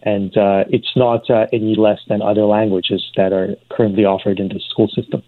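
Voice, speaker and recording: Man, low voice, hesitant guest over a tinny phone line, dry. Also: flat delivery, no room sound. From Iran. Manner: halting, many fillers and restarts. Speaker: community advocate guest. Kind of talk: radio interview answers.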